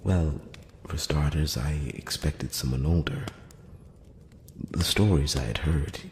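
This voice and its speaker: Elf emissary for fantasy ASMR roleplay, played by a man: extremely low, slow, hushed and breathy, solemn and courteous. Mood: gentle, curious. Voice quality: breathy whisper mixed with very low murmur. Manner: hushed, halting, measured, earnest. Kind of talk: close-up whispered ASMR roleplay. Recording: roomy long reverb, faint noise.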